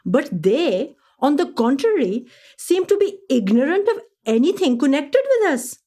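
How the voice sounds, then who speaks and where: Lively, high-voiced genteel woman traveller. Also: a little slow. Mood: surprised, amused. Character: Indian woman traveller of the early 1900s, observant, amused, genteel. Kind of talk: radio play monologue, reading a travel account.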